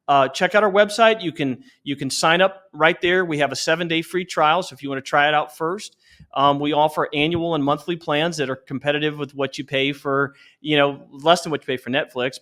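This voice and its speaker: Earnest man with a higher-pitched voice. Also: fairly bright tone. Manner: earnest, exhorting. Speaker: company founder, interview guest. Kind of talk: earnest promotional interview talk.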